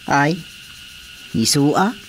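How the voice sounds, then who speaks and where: An actor playing an eager, hesitant young brother-in-law in an Ilocano radio comedy drama, with an Ilocano accent. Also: male voice, on the high side, expressive, very slow. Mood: eager, deferential. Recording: faint background noise with crickets.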